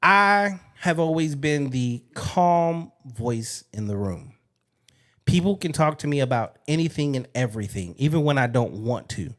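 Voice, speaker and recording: A man's voice: medium pitch, animated, unhurried, fairly bright tone. A man hosting a podcast, talking in a frank, casual way and swearing freely. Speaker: podcast host. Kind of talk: casual interview chat.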